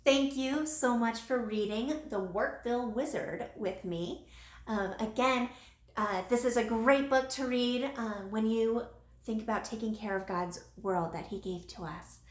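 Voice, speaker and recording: A woman's voice: on the high side, unhurried. A woman reading a children's story aloud, as a teacher would to young listeners. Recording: echoey room with light background noise.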